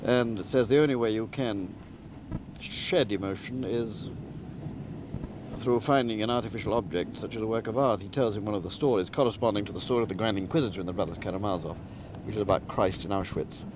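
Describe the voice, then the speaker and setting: A man giving a deliberate, emphatic and articulate spoken summary of a story, like a novelist outlining a book. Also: lowish voice, noisy background. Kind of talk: spoken plot summary, literary talk.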